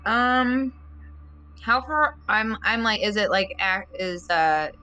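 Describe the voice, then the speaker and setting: A woman with a bright, clear-cut tone speaks as a player in a tabletop game. Her voice sits at a middling pitch, and her casual phrasing is halting and hesitant. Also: lively intonation, highs cut off. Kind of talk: casual chat during a game.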